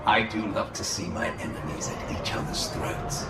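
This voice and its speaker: An actor playing a cunning, treacherous alien elf spymaster in a video game, with a measured, theatrical delivery. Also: male voice, natural voice. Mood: sly amusement. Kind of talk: video game cutscene acting. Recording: cavernous reverb, distant, over music.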